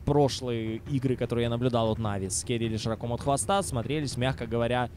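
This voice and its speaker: A man with a mid-range voice calls an esports match live. He is casual and wry, slipping in sardonic asides to viewers. Game ambience and noise are clearly audible behind him. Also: medium pitch, quick pace, darkish tone. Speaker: esports commentator.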